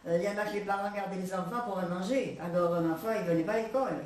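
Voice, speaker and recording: A woman's voice: medium pitch, rapid-fire, darkish tone. A woman in her nineties answering questions plainly and repeating herself, in a kitchen. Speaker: interviewee. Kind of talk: casual recollection. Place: indoor kitchen. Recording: echoey room from far off, faint hiss.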